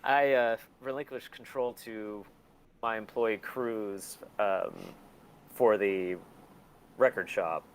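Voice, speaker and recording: A man chatting casually as an interview guest, self-deprecating and quick to swear. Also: lowish voice, clear, resonant tone. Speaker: podcast guest, record label and museum owner. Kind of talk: casual chat.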